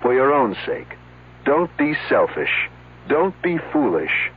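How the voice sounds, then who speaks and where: A man reads a public service message with earnest emphasis and a lively, rising and falling pitch. His voice is husky and sounds like an old radio broadcast. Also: slow pace, narrow, tinny audio. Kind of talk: scripted announcement.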